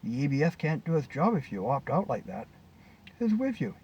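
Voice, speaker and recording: Stern, dutiful cop, read by a man, official and admonishing storybook role. Animated, a little off-mic. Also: a little high, moderate pace, dull audio. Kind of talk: short story dialogue read aloud. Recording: distant mic with faint background noise.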